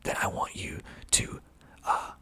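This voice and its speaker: A man speaking in a hushed, breathy, husky ASMR whisper, reading aloud and commenting in a deliberate way. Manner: slow, deliberate, hushed delivery. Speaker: filmmaker reading reviews of his movie.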